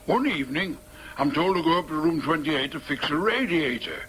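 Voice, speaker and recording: A Northern English working man giving a dramatic monologue. His voice sits a little high, and he recounts things plainly and deliberately. There is faint noise in the recording. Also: animated, fairly bright tone. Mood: matter-of-fact recounting. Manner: matter-of-fact, deliberate. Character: plain-spoken former maintenance man recounting a confession. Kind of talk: first-person dramatic monologue.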